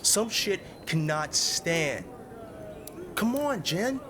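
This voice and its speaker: A man's voice: high-pitched, big pitch swings, drawn-out words, heavily acted. Impassioned teenage American boy, noisy ambience. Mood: righteous anger. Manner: impassioned, stammering, slangy. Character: anguished, idealistic teenage American boy in a fantasy world. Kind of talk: audio drama acting.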